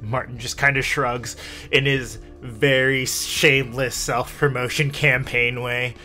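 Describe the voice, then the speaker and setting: A man's voice: mid-range voice, flat delivery, measured pace, sharp tone, faint music behind. A man running a tabletop roleplaying game as game master, narrating casually.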